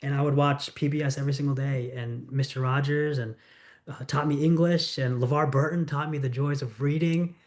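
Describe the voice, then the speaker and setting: A man's voice: mid-range voice, steady tone. A middle-aged man from the United States, a congressional candidate answering interview questions in an earnest, storytelling way. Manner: earnest, storytelling, quick asides.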